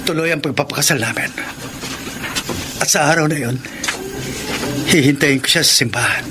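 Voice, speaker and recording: A man's voice: a little high, moderate pace, background noise, natural voice. A Filipino man acting a wounded but forgiving young groom in a romantic radio drama, earnest and solemn. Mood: solemn devotion. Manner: earnest, solemn, self-sacrificing.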